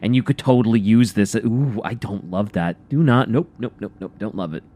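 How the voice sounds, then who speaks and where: A man co-hosting a radio show with casual, reactive banter, rambling and haltingly repeating his phrases. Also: fairly low pitch, dark tone.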